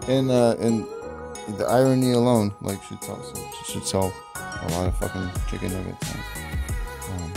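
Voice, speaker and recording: A man's voice: fairly low pitch, level tone, slow, gravelly, long pauses. A man talking off the cuff, rambling and swearing, with a voice-over over music. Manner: profane, rambling, laughs at own jokes. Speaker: vlogger. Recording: voice over loud background music.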